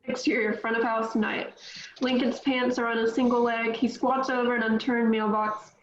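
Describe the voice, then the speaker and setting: A woman reading the stage directions of a script at a table read, even and matter-of-fact. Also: on the high side, moderate pace. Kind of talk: reading script action lines. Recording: roomy, dull mic with faint noise.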